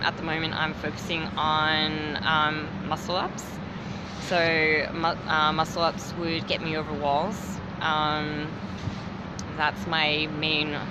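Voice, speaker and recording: A young Australian woman hosting a casual livestream, hesitant with lots of ums, her voice going hoarse. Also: deep voice. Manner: hesitant, many ums, greeting viewers. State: losing her voice. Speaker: livestream host. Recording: loud background noise.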